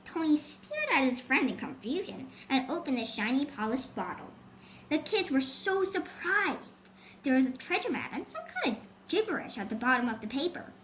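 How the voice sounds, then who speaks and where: A woman's voice: high-pitched, big pitch swings, normal speed, fairly bright tone, low-quality recording. A woman reading a scripted children's adventure story as an audiobook narrator. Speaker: audiobook author and reader. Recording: faint background noise.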